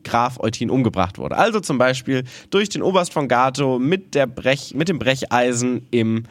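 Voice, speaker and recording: A man's voice: medium pitch, expressive, moderate pace, no echo. Male podcast host with a cold, playful and joking, dry close sound. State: stuffy with a cold. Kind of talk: casual chat.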